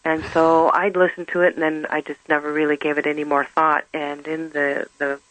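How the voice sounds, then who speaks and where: A middle-aged woman whose first language is German, speaking earnestly and haltingly as an interview guest. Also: deep voice, flat delivery, fairly bright tone, dry recording, poor audio. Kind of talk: personal testimony in conversation.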